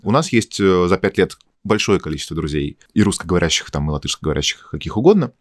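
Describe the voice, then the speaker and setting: Deep-voiced fast-talking man telling stories. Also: lively intonation, no echo, clean studio sound. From Russia. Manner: long anecdotal storytelling with frequent fillers. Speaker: podcast host. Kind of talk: casual conversational podcast chat.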